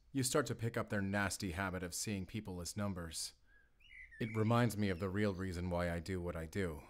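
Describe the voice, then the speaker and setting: A man playing an earnest, gentle wolf hunter in an audio roleplay, speaking in a measured, sincere way. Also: lowish voice, lightly acted. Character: grown male wolf hunter, earnest, gentle, protective, duty-bound. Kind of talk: cinematic audio roleplay acting.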